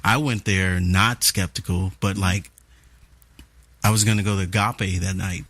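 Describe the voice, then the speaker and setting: A man's voice: sits low, steady tone, measured pace, a bit bright, no echo. A man hosting a podcast, talking in an easygoing, slangy, encouraging way. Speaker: podcast host. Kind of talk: casual conversation.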